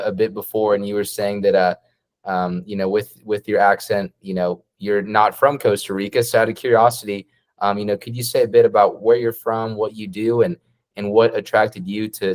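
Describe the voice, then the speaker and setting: Friendly host, lowish voice. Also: male voice, level tone, fairly quick. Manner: friendly, hesitant restarts, many fillers. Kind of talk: casual interview hosting.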